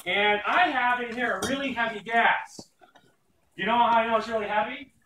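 A man's voice: very high-pitched, fairly even intonation, normal speed, pushed and bright. A middle-aged man teaching as a chemistry professor, giving a playful live demonstration to a class in a lecture hall. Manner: projecting to a class, playful showmanship. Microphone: far camera in the audience. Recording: distant, echoey, noisy phone-style room recording.

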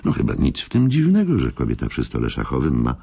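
Very deep, gravelly old schemer. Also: male voice, huge pitch swings, fast talker, dark tone, full character voice. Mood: persuasive, sly. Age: older. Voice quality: gravelly, husky. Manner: courtly, theatrical, flowery phrasing. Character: scheming older gentleman chess trainer, suave and persuasive. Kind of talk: Polish radio drama acting.

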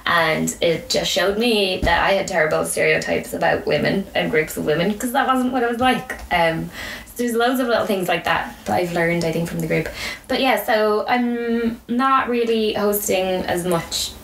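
A woman's voice: on the low side, moderate pace, creaky, fairly bright tone. An Irish woman in her thirties telling stories casually as a podcast guest, chatty and often acting out imagined quotes. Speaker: podcast guest, community founder. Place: small indoor room with beanbags. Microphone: desk microphone. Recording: roomy, slightly distant, faint noise.

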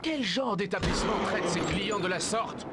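A man's voice: high-pitched, normal speed, close to an everyday voice. A man voicing a fussy male cartoon animal in a game dub, complaining in an indignant, huffy way. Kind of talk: video game character dub. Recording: game audio with loud noise.

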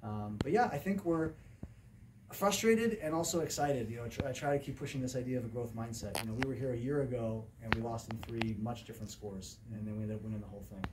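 A man's voice: mid-range voice, fast. A middle-aged man, a volleyball coach, answering questions at a post-game press conference in an indoor room. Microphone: distant camera microphone. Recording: distant camera pickup with clear room noise.

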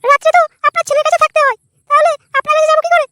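A woman voicing a dutiful, pleading young daughter-in-law in a comic cartoon, squeaky and placating. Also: steady tone, rapid-fire, over loud music.

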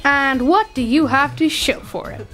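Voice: high voice, sharp tone, soft background music. An actor playing a cocky twelve-year-old boy who needles people in a pushy, smug way in an audio drama. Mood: needling. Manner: pushy, smug, rapid-fire interrogation.

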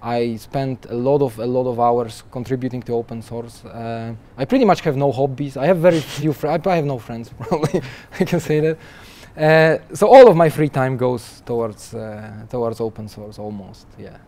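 Young man, mellow tone, chatty guest, many restarts, lapel mic. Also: medium pitch, normal speed, hardly any pauses. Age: young adult. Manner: chatty, restarts often with repeated words, laughs lightly. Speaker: guest software developer. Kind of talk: conversational interview answers. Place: conference interview booth, seated in armchair.

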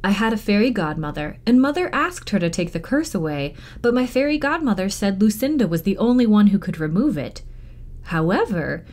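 A woman reading a children's novel aloud in expressive storytime narration. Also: medium pitch. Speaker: library storytime reader.

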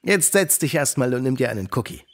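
Guy acting as a wise uncle in a kids' audio play, husky, lively melody, bit of music behind. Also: medium pitch, fast, natural voice. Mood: kindly, firm. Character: kind, wise, devout uncle mentoring children.

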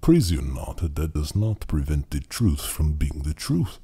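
An actor playing a superior, cryptic occult believer who speaks in grandiose, formal pronouncements in an audio drama. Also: male voice, rumbling low, big pitch swings, measured pace, mellow, husky, exaggerated voice. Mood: knowing, superior.

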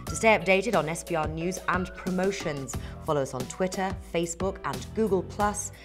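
A woman's voice: lowish voice, normal speed. Woman reading a promo over music. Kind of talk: scripted ad read.

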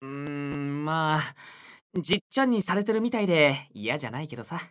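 A man's voice: on the high side, lively intonation, fairly quick, fairly bright tone. An actor playing an easygoing youthful swordsman in a game, with informal, boyish delivery. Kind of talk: Japanese game character acting.

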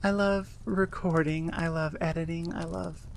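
A woman's voice: on the low side, fairly even intonation, moderate pace, gravelly. A woman audio creator talking casually and personally to her listeners, in an earnest, rambling way.

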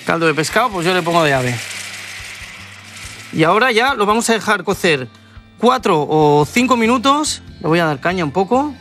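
A lively man in his forties from Spain speaks with a fairly high voice. He hosts a cooking show, explaining each step as he cooks in a studio kitchen, with faint music underneath. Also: moderate pace. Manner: chatty, enthusiastic, explains as he cooks. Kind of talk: cooking demonstration.

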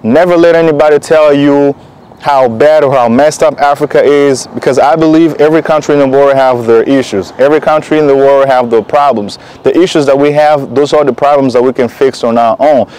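A man's voice: medium pitch, fairly even intonation, fairly quick, darkish tone, smooth, low-quality recording. A young man from Congo Kinshasa talking in a casual vlog, earnest and encouraging, with loose rambling sentences. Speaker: vlogger. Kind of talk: casual motivational vlog talk.

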